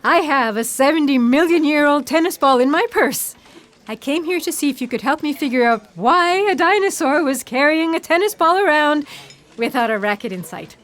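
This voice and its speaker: Quirky lady paleontologist, really high lively voice, earnest, comic sci-fi audio play. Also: normal speed. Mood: confiding, flustered. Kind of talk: comic audio theatre acting.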